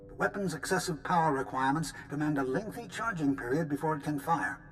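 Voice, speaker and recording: An older military scientist in a space game, precise and pessimistic. His voice sits slightly high and stays dry and analytical, with soft music behind. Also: expressive, fast talker. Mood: grave, analytical. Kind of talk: dramatic video game acting.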